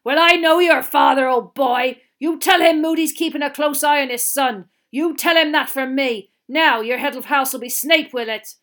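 Woman acting a gruff, growling old wizard professor. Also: high-pitched, moderate pace, few pauses, a bit bright, pitched above the performer's own voice. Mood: menacing. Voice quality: gravelly growl. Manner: barking, blunt, menacing.

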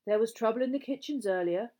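Woman doing a prim, fussy gentleman ghost. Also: fairly high pitch, quick pace, natural voice. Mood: indignant. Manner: prim, indignant. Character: fussy gentleman ghost, proper, mournful and disapproving.

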